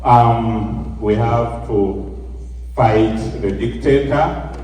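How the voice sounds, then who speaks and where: Slow-speaking young man in a hall. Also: medium pitch, mellow, a little hiss or hum. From Uganda. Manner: slow, halting, many ums. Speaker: youth representative. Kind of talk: speech to a gathering. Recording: echoey hall, from far off.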